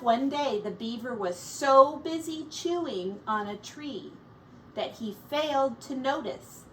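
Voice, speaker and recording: A woman teacher reading a children's picture book aloud expressively, pausing to explain words and comment on the pictures. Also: fairly high pitch, a bit bright. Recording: distant mic with faint background noise.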